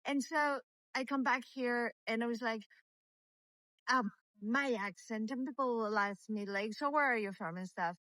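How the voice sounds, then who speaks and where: A woman's voice: on the high side, a little slow, sharp tone, no room sound, low-quality recording. Middle-aged Latin American woman, hesitant speech. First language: not English. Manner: hesitant, many restarts and repeated yeahs. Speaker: meditation group leader, interview guest. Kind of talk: casual conversational interview. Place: outdoors while walking.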